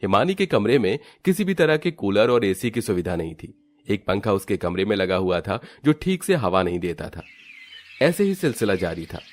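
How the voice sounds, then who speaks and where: A man's voice: lowish voice, big pitch swings, moderate pace. A man narrating a scripted moral story for a cartoon in a storybook style.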